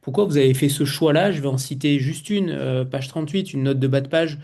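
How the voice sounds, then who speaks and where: A man's voice: fairly high pitch, fairly quick, creaky, a little mellow. A man hosting a literary interview, asking long, multi-part questions and reading out a quote from the book.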